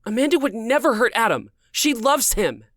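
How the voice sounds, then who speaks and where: A man's voice: very high voice, big pitch swings, normal speed, fairly bright tone, pitched above the performer's own voice, exaggerated voice. A man voicing an indignant, defensive young mother in emphatic protest, as in a dramatic audiobook.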